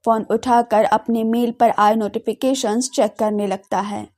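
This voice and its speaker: A woman's voice: a little high, steady tone, a little slow, darkish tone. A woman narrating a scripted audio drama story as a radio storyteller.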